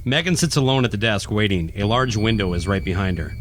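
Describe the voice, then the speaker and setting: A man's voice: lowish voice, animated, fast talker. A man reading scripted narration for a horror radio play. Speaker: narrator, podcast host. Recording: music playing behind the voice.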